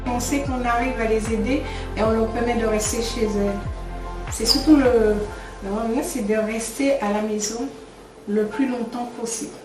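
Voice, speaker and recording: A woman speaks plainly and conversationally, as a care worker being interviewed about the job. The mic sits at a distance in an echoey room, and music plays clearly underneath. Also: on the high side, steady tone, normal speed.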